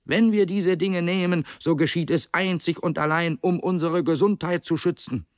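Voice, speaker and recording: A man voicing a glib, excitable pig propagandist in an animal fable audiobook, oratorical, insistent and pleading. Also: fairly high pitch, normal speed, dry recording, clean studio sound, voice pushed up, natural voice. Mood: earnest, persuasive.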